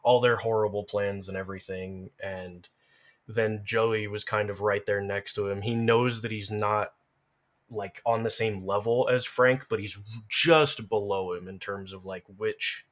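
A man with a fairly low voice talks casually in a podcast conversation. He thinks aloud, often halting and rephrasing as he goes. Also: steady tone, fairly bright tone. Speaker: podcast speaker. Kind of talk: casual conversation about game lore.

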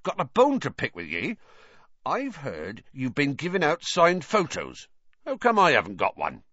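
A man voicing a big, gruff gamekeeper in an audiobook, with a growling voice and loud, blunt, folksy, genial speech. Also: mid-range voice, huge pitch swings, fairly quick, no room sound, lo-fi sound, voice pushed up, exaggerated voice. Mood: jovial, teasing. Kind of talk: audiobook character voice.

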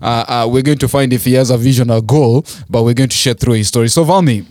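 A man hosting a podcast interview, asking questions in an animated way with frequent restarts and fillers. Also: medium pitch, quick pace, no room sound, clean studio sound. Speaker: podcast host. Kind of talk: interview host.

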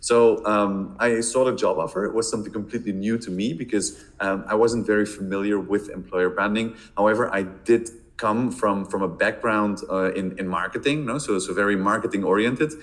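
Man from Belgium, fairly low voice, halting speech, repeats words, interview guest. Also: fairly even intonation. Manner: hesitant, many repeated words and fillers. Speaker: podcast guest, marketing director. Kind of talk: casual interview answers.

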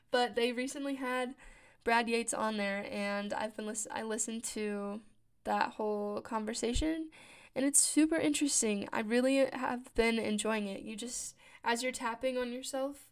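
A woman's voice: fairly high pitch, gravelly. A woman in her late twenties, talking casually about her routines, her voice scratchy from just waking up, often correcting herself and laughing. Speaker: podcast host. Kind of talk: casual personal talk.

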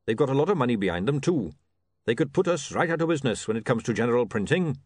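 A man voicing a blunt, glum dwarf printer who talks fast and matter-of-fact. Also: mid-range voice, very animated, no room sound. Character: gruff practical dwarf printer, male.

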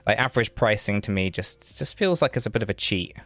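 Low-voiced man talking charts. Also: animated, fast talker, fairly bright tone, no room sound. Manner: loose, rambling, many fillers and restarts. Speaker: co-host, market analyst. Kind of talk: casual market analysis on a livestream.

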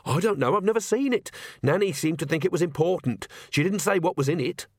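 A man's voice: high-pitched, lively intonation, brisk, breathy edge, no room sound, studio quality, voice pushed up, exaggerated voice. A man voicing a sensible, worried young woman who is blunt and sceptical, in a storybook reading. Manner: urgent, blunt, questioning.

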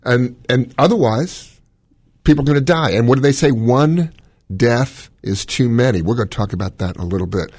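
Doctor guy on call-in radio, smoky husky voice, goes on and on with his theories. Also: medium pitch, animated, moderate pace, a little mellow, no echo. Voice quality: smoky, gravelly voice. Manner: opinionated, rambling explanations. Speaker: cardiologist radio host.

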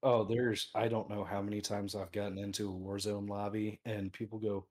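A man with a low voice that people call fit for radio. He chats easily as a podcast guest and pokes fun at himself in a relaxed way. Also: moderate pace. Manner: easygoing, self-deprecating. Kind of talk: casual podcast chat.

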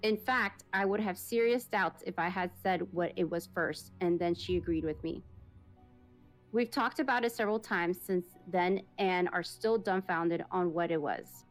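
A woman hosting a podcast, reading a listener's creepy encounter story aloud in a steady storytelling manner. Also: lowish voice, normal speed, soft background music. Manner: steady storytelling read, plain and even.

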